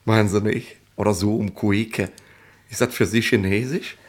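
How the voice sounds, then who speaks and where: An actor in a German radio play playing a bossy, nosy, smug middle-aged police inspector who rambles colloquially. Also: male voice, fairly low pitch, fairly even intonation, normal speed, lightly acted. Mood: smug, teasing. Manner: colloquial, rambling, officious, tag questions.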